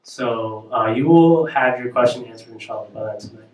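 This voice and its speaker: A man hosts a campus Q&A, asking questions in a halting way full of "uh" and "um". His voice sits at a middle pitch. He sounds distant from the mic in a roomy, echoing hall. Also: highs cut off. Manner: halting, many "uh" and "um". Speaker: event host and interviewer. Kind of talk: hosting a campus Q&A.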